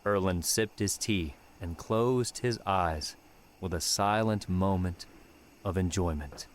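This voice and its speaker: Low-voiced man narrating an adventure audiobook. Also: slow, slight background noise. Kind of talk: scripted narration of an adventure novel.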